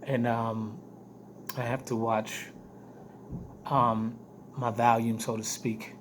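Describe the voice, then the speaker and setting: Hoarse male vlogger, weak voice, medium pitch, halting repetitive delivery, casual update, noticeable background noise. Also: level tone. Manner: halting, repeats phrases for emphasis. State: hoarse, weak voice after throat surgery. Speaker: vlogger giving health updates. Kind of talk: casual video diary update to camera.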